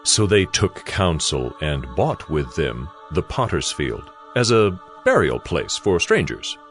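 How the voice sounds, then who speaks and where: A man narrating scripture in a solemn, measured and dramatic scripted style over music. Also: rumbling low, sing-song, a bit bright.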